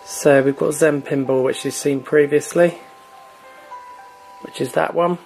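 A man's voice: a little high, unhurried, vocal fry, soft background music, rough recording. A man hosting a gaming channel, chatting casually with many fillers as he walks through a console's online store. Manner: relaxed, filler-heavy, chatty. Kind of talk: casual screen walkthrough.

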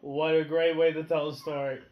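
A man's voice: fairly high pitch, flat delivery, moderate pace, muffled recording. A man co-hosting a podcast, teasing his partner with sarcastic, profane jokes. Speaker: podcast co-host. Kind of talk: casual chat. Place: home room with a dog. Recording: echoey room with faint noise.

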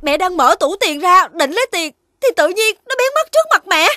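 Flustered mother dog, southern Vietnamese, piercing and forceful, dry studio sound, for kids' animation. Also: very high-pitched, sing-song, a little fast, dry recording, heavily acted. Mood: distressed. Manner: agitated, exclaiming. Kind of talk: children's cartoon dubbing.

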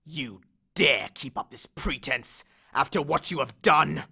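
A man's voice: on the high side, measured pace, gravelly, bright, forceful tone, slightly rough, heavily acted. A man voicing an angry, aggrieved male god of the dead who bellows complaints and threats in an audiobook. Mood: aggrieved ranting. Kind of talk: audiobook character voice.

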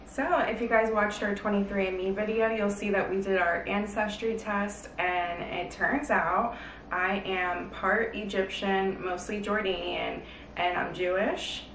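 A relaxed young woman from Florida with a gravelly, fry-tinged voice, reading questions and answering at an easy pace. The room is echoey, the mic set back with a faint hiss. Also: mid-range voice, a little slow, recorded from a distance. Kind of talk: casual family Q&A. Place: indoor bedroom, on a couch.

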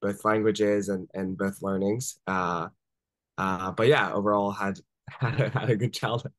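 Chatty young man, low dry voice. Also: fairly even intonation, measured pace, fairly bright tone, dry recording. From born in Japan, raised in Washington DC area. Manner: chatty, many fillers like "uh" and "like", laughs easily. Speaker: startup founder guest. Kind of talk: casual interview storytelling. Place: home room on a video call.

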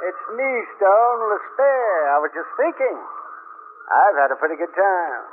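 High-voiced, rambling, cheerful spaceman. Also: sing-song, unhurried. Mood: wistfully merry. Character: boastful, cheerful spaceman reminiscing while doomed. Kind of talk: mid-century radio drama acting. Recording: tinny, noisy 1950s radio recording.

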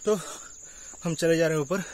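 A man's voice: on the high side, normal speed, a little mellow, slight background noise. Chatty young man vlogging on a hike. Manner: chatty, thinking aloud, mixes in English phrases. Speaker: travel vlogger. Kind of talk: casual vlog commentary while hiking. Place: outdoors on a green hillside and at rock caves. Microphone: phone camera held at arm's length.

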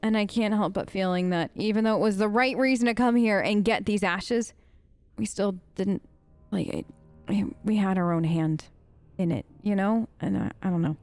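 Female let's player, improvised in-character commentary, thinking aloud conversationally, medium pitch, dry close sound. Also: steady tone, normal speed, darkish tone, no echo. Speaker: let's play streamer role-playing her character.

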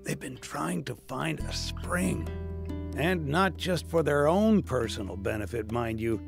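An elderly small-town mayor in a game. His gravelly voice sits a bit high and moves slowly, gently chiding and reasoning with quiet authority. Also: expressive, music in the background. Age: older. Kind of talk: video game voice acting.

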